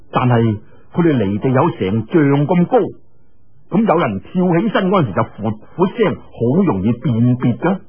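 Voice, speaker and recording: A man's voice: medium pitch, narrow, tinny audio. A man with a Cantonese accent telling a martial-arts story in a dramatic, colloquial audiobook style, full of exclamations.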